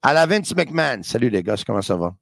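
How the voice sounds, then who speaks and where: A man with a Quebec French accent hosting a sports podcast, lively and joking, with quick asides. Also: mid-range voice, vocal fry, no echo, clean studio sound. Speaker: podcast host. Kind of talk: casual sports talk.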